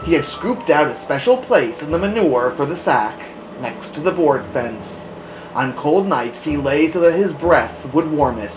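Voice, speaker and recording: A woman narrating a children's story aloud as a host, in an expressive storytelling style. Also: deep voice, unhurried, highs cut off, over music. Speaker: storybook reader, podcast host. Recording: roomy, echoing room sound.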